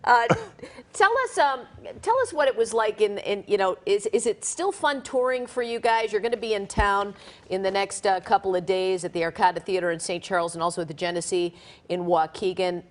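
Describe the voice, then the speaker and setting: A woman co-hosting a live radio show, with a voice of middle pitch. She is friendly and chatty, with easy laughter, and lets her sentences wander as she talks. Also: fairly quick. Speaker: radio co-host.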